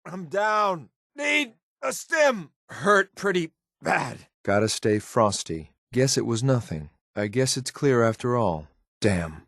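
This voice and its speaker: A man acting as a stoic, loyal but ruthless synthetic soldier in a video game, with a clipped, military, deadpan delivery. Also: medium pitch, vocal fry, no room sound, studio quality, full character voice. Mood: pained, strained, then cold, taunting. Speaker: companion character in a video game. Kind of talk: scripted game voice lines.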